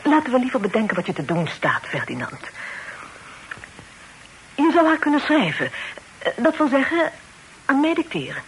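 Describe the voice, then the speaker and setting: Lively, outspoken young confidante. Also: female voice, on the high side, normal speed, pauses often. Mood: brisk, urging. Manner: expressive, chiding, matter-of-fact. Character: practical, brisk, outspoken young woman confidante. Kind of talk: radio drama acting, period dialogue. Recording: noisy old radio play recording.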